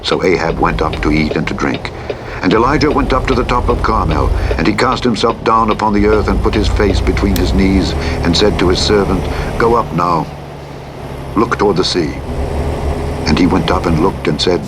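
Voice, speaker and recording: A man reading scripture as a scripted narration, in a measured, solemn and declamatory manner. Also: deep voice. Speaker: audiobook narrator. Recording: clear vehicle noise over a radio playback. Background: truck engine and driving noise from a simulator game.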